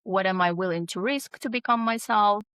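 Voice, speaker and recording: A woman's voice: medium pitch, normal speed, fairly bright tone, no room sound. Hesitant woman author, very dry sound. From abroad, immigrated around twenty-two. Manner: hesitant, many fillers and restarts. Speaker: author guest. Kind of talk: casual interview talk.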